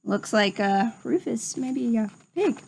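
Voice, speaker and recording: A woman reading a picture book aloud to young children in an expressive storytelling manner. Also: a little high, a little slow, creaky, noisy background. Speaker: storybook reader. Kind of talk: children's read-aloud narration.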